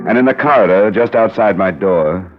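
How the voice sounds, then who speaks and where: A male actor plays a cool American insurance investigator from a fifties radio crime serial. His low voice is clipped and matter-of-fact, full of skepticism. The sound is a dull vintage broadcast with music clearly behind it. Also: normal speed, natural voice. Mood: grave narration. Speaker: lead actor and first-person narrator. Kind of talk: 1950s American radio crime drama acting. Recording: muffled old radio recording, music behind.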